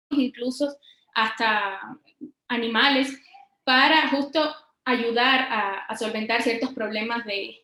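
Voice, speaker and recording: A woman from Cuba with a fairly high voice, explaining her work to listeners at an informal interview in a very echoey room. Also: fairly bright tone. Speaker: scientist guest being interviewed. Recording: roomy, echoing sound.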